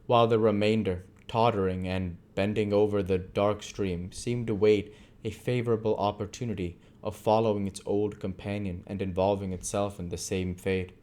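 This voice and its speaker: A man's voice: on the low side, steady tone, moderate pace, hardly any pauses, darkish tone. A man reading literary narration aloud as an amateur audiobook reader.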